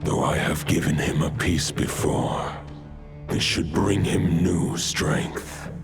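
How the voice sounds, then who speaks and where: Ancient gravelly wolf king, deep and slow. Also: steady tone, heavily acted. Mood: solemn, commanding. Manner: solemn, formal, archaic phrasing. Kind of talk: video game voice acting. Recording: loud background music.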